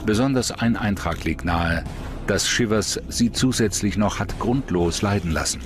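A man's voice: fairly low pitch, moderate pace. A man reading scripted true-crime documentary narration as the narrator. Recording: music under the voice. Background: prominent music.

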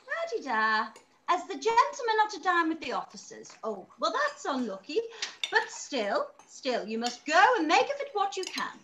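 Fussy, high-pitched mother. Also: a bit bright, full character voice. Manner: theatrical, exclamatory. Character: fussy, scheming, excitable middle-aged mother. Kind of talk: radio play acting. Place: room at home, on a video call. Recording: noisy video call.

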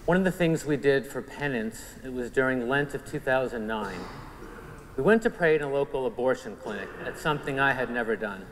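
A man's voice: mid-range voice, expressive, a little fast. A man in his forties with a Long Island New York accent, earnestly telling his personal faith story to a men's conference in a church. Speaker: conference speaker giving testimony. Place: large church sanctuary. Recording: church hall reverb, faint room noise.

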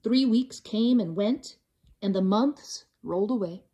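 A woman reading a rhyming storybook aloud to children in an expressive, theatrical, drawn-out way. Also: mid-range voice, slightly rough.

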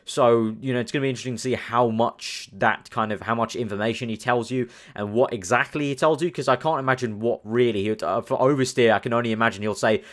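A man's voice: mid-range voice, quick pace, few pauses. A young man from the UK, chatting casually as a gaming channel host, rambling and thinking aloud with lots of fillers. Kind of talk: casual commentary over screen.

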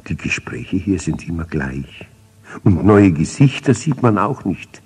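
Older man playing little storybook hen, restless, plaintive, husky, low-pitched, slow. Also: sing-song, darkish tone, full character voice. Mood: bored, restless.

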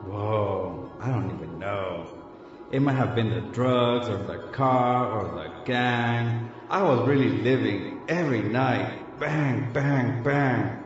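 A man's voice: medium pitch, takes it slowly, natural voice. A man acting in an audio drama as a dead young street tough, a defensive, regretful big brother talking in slang with Spanish touches. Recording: muffled, echoey lo-fi audio drama recording. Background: loud background music.

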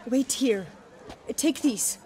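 An actress playing a humble, mournful flower seller in a video game, plain-spoken and wistful, in short phrases. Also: female voice, high voice, slow, dark tone, a faint crowd, a little hiss or hum. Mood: kindly, wistful.

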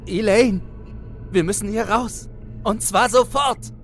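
A frightened young woman in a horror audiobook, played by a man. The voice is extremely high, strained and agitated, with faint music underneath. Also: takes it slowly. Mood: urgent. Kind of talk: acted horror audiobook dialogue.

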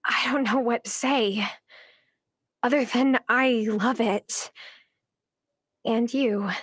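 An actress voicing a sincere, gentle young woman in love in a video game cutscene. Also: a little high, fairly even intonation, takes it slowly, breathy edge, dry recording, clean studio sound, full character voice. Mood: affectionate.

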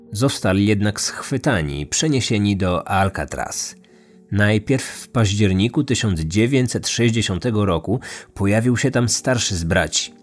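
Low-voiced man narrating true crime. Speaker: true crime podcast host. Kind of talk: scripted narration, storytelling. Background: background music.

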